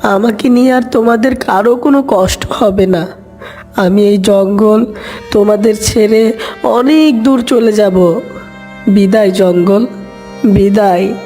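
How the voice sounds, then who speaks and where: A woman voicing a gentle, wounded young outcast duckling in an animated fairy tale. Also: mid-range voice, slow pace, pauses often, faint music behind, natural voice. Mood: resigned, sad.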